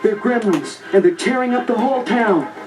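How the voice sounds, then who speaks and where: A man's voice: high voice, expressive, normal speed. A man playing an earnest, urgent young hero on a children's read-along story record. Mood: urgent, excited. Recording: distant vinyl record over music.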